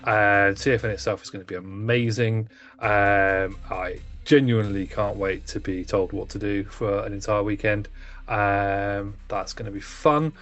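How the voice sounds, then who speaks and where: A man's voice: on the low side, steady tone, measured pace, a bit bright, dull audio, soft background music. A man co-hosting a casual chat, laid-back and prone to laughing and swearing. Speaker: co-host.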